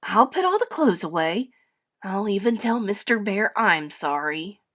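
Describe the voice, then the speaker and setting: A woman's voice: mid-range voice, sing-song, measured pace, fairly bright tone. A woman voicing a cheeky, defiant young boy bear from a storybook, bold and exclamatory. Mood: coaxing.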